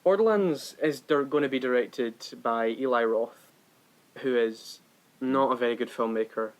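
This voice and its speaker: A man's voice: medium pitch, normal speed. A man co-hosting a podcast, giving opinionated critique in a casual conversational way. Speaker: podcast co-host. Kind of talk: casual discussion and film critique.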